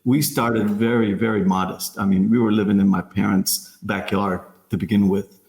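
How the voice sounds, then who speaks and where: A man's voice: fairly low pitch, flat delivery, normal speed, vocal fry, darkish tone, reverberant. A man from the Philippines hosting a podcast, asking questions in a chatty way with many fillers. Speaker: podcast host. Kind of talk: casual interview chat.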